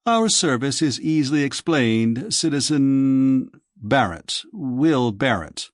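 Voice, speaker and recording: Slick young male salesman done by a male narrator, polished lecturing patter, animated mid-range pitch, sci-fi audiobook. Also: takes it slowly, no echo, studio quality. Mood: smooth, formal. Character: sleek young male dream-shop manager, smooth salesman.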